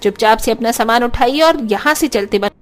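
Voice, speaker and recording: A woman's voice: a little high, brisk, lightly acted. A woman voicing an arrogant, scheming married daughter in a cartoon story, haughty and commanding. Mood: smug, defiant. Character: scheming, arrogant young married daughter evicting her family.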